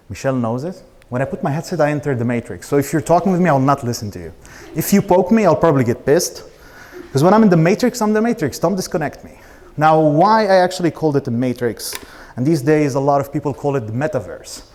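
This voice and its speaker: A man's voice: on the high side, darkish tone. A man in his thirties from Israel, an Arabic speaker, giving an informal, blunt personal talk as a startup founder. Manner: casual, blunt, swearing.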